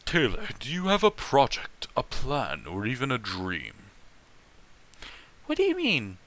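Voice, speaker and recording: A man reading fan fiction aloud as host, breaking off for animated, opinionated and profane casual commentary. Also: medium pitch, unhurried, a little hiss or hum.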